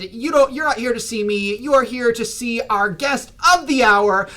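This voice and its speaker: A man hosting a livestreamed interview, energetic and enthusiastic. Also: very high voice. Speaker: host.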